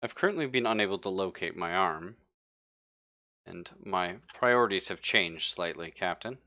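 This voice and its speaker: A man's voice: sits low, lively intonation, normal speed, pushed and bright, full character voice. A man voicing a dutiful but evasive female android crew member in a tabletop game, speaking formally in clipped, detached phrases.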